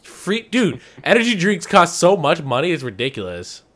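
A man on a casual group podcast, making loud, hyped outbursts. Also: on the high side, very animated, normal speed.

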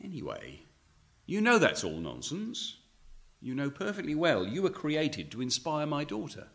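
An actor playing a touchy, defensive adventure novelist in a radio play, theatrical in narration and dry when indignant. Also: male voice, fairly high pitch, wildly swooping pitch, moderate pace, a bit bright, exaggerated voice.

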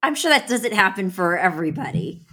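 A woman's voice: mid-range voice, lively intonation, brisk, pushed and bright, husky. A woman hosting an interview, asking questions and giving short, supportive replies. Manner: supportive, gives short affirmations and laughs along. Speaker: interviewer host.

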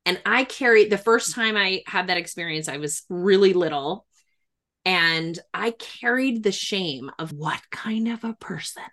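A middle-aged woman with a fairly low voice talks in a reflective interview. She sounds like an earnest therapist thinking aloud, leaning on key phrases to make them land. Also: measured pace, a bit bright. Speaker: therapist and grief expert.

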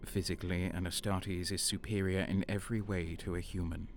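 An actor playing a sadistic renegade guardsman, narrating in the first person in a brooding, deliberate way. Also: deep voice, level tone. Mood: cold explaining. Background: music.

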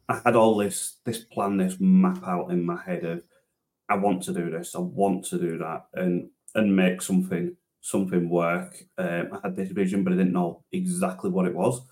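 A man's voice: low voice, darkish tone. A man, a transport manager chatting casually as a podcast guest, rambling with many restarts. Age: 30s. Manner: rambling, many restarts and fillers, self-deprecating. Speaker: interview guest, transport manager and driver trainer.

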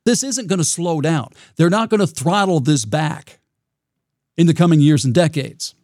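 A man with a raspy voice hosting his own podcast, talking in a reflective, rambling way with frequent restarts. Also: a little high, lively intonation, moderate pace, darkish tone, no room sound, studio quality. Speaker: podcast host. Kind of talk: solo podcast monologue.

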